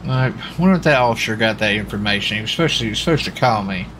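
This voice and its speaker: A man's voice: lowish voice, lively intonation, racing, a bit bright, slight background noise. A man chatting casually in folksy, colloquial phrasing while roleplaying a lawman in a video game. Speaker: streamer playing a US marshal in GTA roleplay.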